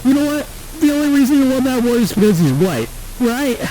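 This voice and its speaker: A man's voice: very high-pitched, big pitch swings, quick pace, warm tone, slight background noise, voice pushed up, rough recording. A man voicing a petulant, jealous villain in a comedic rant, whiny, indignant and sweary. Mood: whiny indignation.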